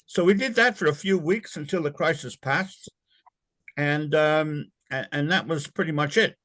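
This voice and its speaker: A man's voice: a little high, fairly bright tone, dry recording. Older man, interview guest, rambling stop-start delivery, dry close sound. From born in England, raised in Canada. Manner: halting, with many fillers and restarts. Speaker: interview guest, former navy sailor. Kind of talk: casual recollection in an interview.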